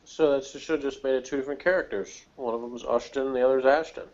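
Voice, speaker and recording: A man's voice: medium pitch, fairly even intonation, fast talker. A man chatting casually as a podcast reviewer, in a rambling, jokey way. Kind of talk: casual chat. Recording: dull, lo-fi voice recording.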